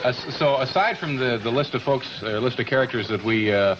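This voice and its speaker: A man hosting a talk show, asking questions in a halting way full of fillers. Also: medium pitch. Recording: lo-fi broadcast audio with hiss.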